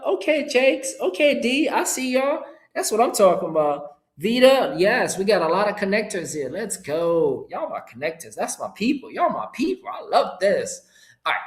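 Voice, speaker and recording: A middle-aged man from Haiti, a Creole speaker, gives an informal, upbeat motivational webinar pitch in short punchy phrases. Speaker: entrepreneur hosting an online presentation. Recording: roomy, echoing sound.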